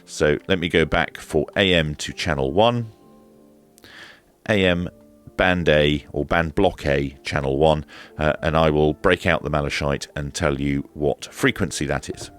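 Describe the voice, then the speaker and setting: A man giving a hands-on equipment review in a measured, matter-of-fact, explanatory way. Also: extremely low pitch, fairly bright tone, soft background music. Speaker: presenter of a radio equipment review. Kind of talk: hands-on demonstration and review commentary.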